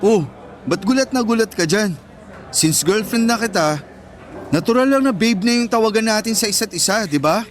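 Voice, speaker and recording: A protective high school boyfriend in a Filipino radio drama. The voice is youthful and quite high, earnest and firm, with a light music bed underneath. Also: male voice, lively intonation, moderate pace. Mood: tender, teasing.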